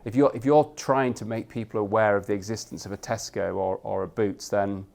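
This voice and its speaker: Loud Yorkshire man, thoughtful restarts. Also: on the low side, fairly even intonation, a little fast, vocal fry. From Yorkshire, England. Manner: thoughtful, with frequent restarts and repeated words. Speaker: head of media effectiveness, guest. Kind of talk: panel discussion at an industry event.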